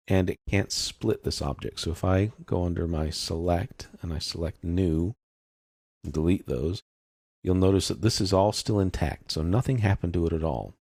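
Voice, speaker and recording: A man narrating a step-by-step software tutorial as an instructor, explaining in an informal, unscripted way. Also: deep voice, normal speed, slightly rough, dry recording, studio quality.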